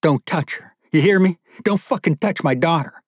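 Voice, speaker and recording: A man's voice: lowish voice, expressive, moderate pace, husky, no room sound, studio quality. Man acting desperate, defiant captive father. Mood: desperate fury. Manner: pleading, bitter, swearing defiance. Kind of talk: audiobook character dialogue.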